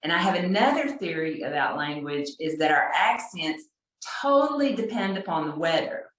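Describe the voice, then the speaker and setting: Woman, deep Texas accent, lowish voice, storyteller on a video call, self-deprecating, echoey room. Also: expressive, moderate pace, fairly bright tone. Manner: chatty, self-deprecating, laughs often. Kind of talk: personal storytelling.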